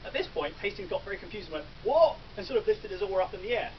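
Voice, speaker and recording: A man in his thirties with an English accent, giving a best man's toast in a large wedding marquee, with dry jokes and pauses for laughs. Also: sits high, animated, slight background noise. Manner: dry joking delivery with ums and pauses for laughs. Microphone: far camera, no mic. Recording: distant dull camera audio.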